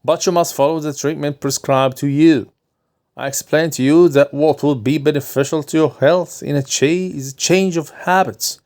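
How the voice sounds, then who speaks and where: Probing old storybook doctor, pitched up and pressing hard, read by a man. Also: fairly even intonation, normal speed, darkish tone, lightly acted. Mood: insistent. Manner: emphatic, lecturing, questioning. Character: fussy doctor, older man, probing and insistent.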